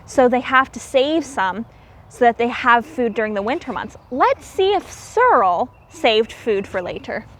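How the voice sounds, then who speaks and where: A woman reading a story aloud to young children as a teacher, expressive and explaining things simply. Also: fairly high pitch, a little slow, a little hiss or hum.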